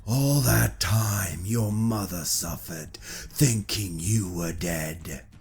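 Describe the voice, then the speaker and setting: A woman voicing a cold, cruel middle-aged male soldier villain in an audio drama, with a low, rough, sneering delivery. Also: darkish tone, soft background music, full character voice. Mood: cruel taunting. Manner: slow, sneering, menacing. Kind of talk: audio drama character acting.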